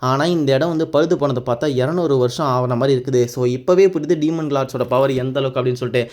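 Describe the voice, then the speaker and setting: A man's voice: medium pitch, fast, darkish tone. A man recapping a story casually and informally, rambling along and mixing in English words. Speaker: YouTube anime recap narrator.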